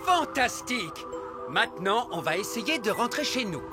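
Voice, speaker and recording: Hearty, lively cartoon elf scout leader. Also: male voice, high voice, moderate pace, music in the background. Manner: hearty, instructive, emphatic. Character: enthusiastic, bumbling male elf scout leader. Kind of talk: French cartoon dubbing.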